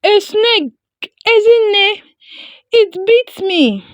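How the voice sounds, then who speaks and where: A woman's voice: extremely high pitch, expressive, drawn-out words, dry recording, studio quality, pitched above the performer's own voice, exaggerated voice. A woman voicing an anguished young farm lad in a folktale. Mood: pained panic. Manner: anguished, exclaiming. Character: young farm boy crying out in agony. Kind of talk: acted folktale storytelling.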